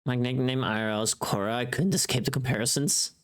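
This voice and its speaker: A woman's voice: extremely low pitch, fast. A woman livestreamer chatting casually with her viewers in a playful, teasing and bluntly informal way. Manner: playful, teasing, reacting to chat.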